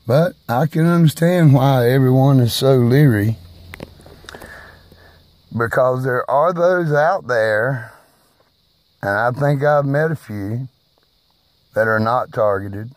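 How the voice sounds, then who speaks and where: A man speaking slowly and haltingly in a personal video monologue, who is hard of hearing and raises his voice at times. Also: medium pitch, dry recording. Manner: slow, halting, emphatic delivery.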